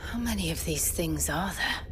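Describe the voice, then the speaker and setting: An actress playing a resolute, direct fantasy game heroine with composed delivery. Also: female voice, on the low side, animated, normal speed, natural voice. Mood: wary. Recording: music behind the voice.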